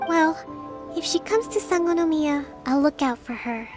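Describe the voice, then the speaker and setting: An actress voicing a gentle young island leader in an anime game, speaking in a formal, measured, diplomatic way. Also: female voice, high voice, music in the background, lightly acted. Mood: polite, reassuring.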